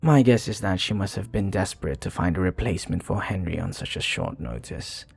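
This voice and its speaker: Man acting a deep, breathy, flirty vampire bartender. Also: full character voice. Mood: modest, flattered. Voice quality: breathy, gravelly, often dropping to a whisper. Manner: suave, courteous, teasing, unhurried. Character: charming flirty male vampire bartender, polite, modest. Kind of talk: intimate ASMR roleplay.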